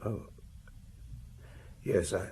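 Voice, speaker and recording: An actor playing an anxious, faltering, self-justifying murder suspect in a radio drama. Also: male voice, extremely slow, warm tone. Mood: anxious, defensive. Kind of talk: British radio drama acting. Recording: noisy radio drama recording.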